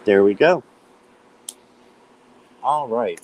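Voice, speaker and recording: A man with a slight cold and a hoarse voice, chatting casually as he hosts a live tarot stream and greets viewers by name. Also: mid-range voice, animated, drawn-out words, lo-fi sound, a little hiss or hum.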